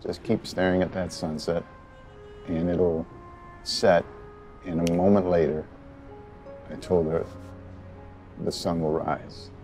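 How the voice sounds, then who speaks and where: A man in his forties playing a grieving widower on a city rooftop, talking haltingly with many pauses. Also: low-pitched, little pitch movement, soft background music. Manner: hesitant, halting, soft-spoken with many pauses. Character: grieving middle-aged widower, gentle and soft-spoken. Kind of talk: film drama scene with outtakes.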